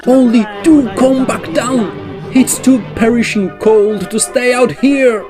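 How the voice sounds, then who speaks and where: A man playing a suave, smug opera baritone who teases his rival, in a comic period audio drama. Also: slow, over music. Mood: pleading, calling out.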